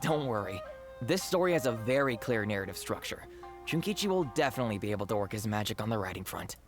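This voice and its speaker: An actor voicing a cheerful, laid-back young aspiring writer in a fantasy video game. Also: male voice, on the low side, big pitch swings, fast, over music. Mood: reassuring.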